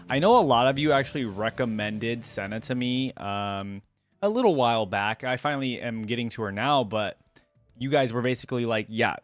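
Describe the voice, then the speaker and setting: A man's voice: mid-range voice, dry recording. A man giving casual, enthusiastic reaction commentary as a video host, speaking informally with lots of "like". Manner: informal, enthusiastic, heavy use of "like".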